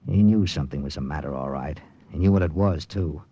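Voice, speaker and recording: An actor playing a tough, decisive American secret agent captain who narrates in a clipped, hardboiled manner, with an American accent, in 1940s radio drama style. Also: male voice, a bass voice, brisk, dark tone, breathy edge, dull audio, exaggerated voice. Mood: grim, hardboiled.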